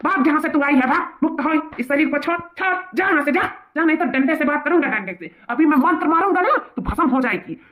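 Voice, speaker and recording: Cartoon village exorcist, a man, played by a woman: high-pitched, imperious, boastful, slightly muffled recording. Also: lively intonation, fast, few pauses. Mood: threatening. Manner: chanting, threatening, imperious. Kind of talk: comic cartoon dialogue. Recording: dull lo-fi cartoon soundtrack.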